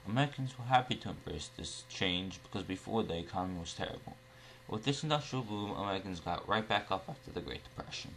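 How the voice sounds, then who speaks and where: A man's voice: sits low, fairly bright tone, slight background noise, poor audio. A man reading scripted newsreel narration as the narrator.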